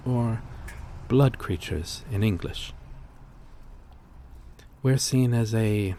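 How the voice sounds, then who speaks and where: A man playing a courteous, melancholy vampire-like creature in an ASMR roleplay, speaking gently and intimately in formal, old-fashioned phrases. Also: lowish voice, slight background noise. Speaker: roleplay voice performer.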